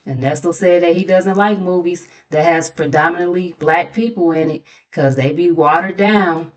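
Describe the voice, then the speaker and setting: A woman's voice: fairly low pitch, normal speed. An American woman hosting a gossip recap, chatting casually in a sassy, conversational way with mocking asides. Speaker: host of a gossip commentary channel.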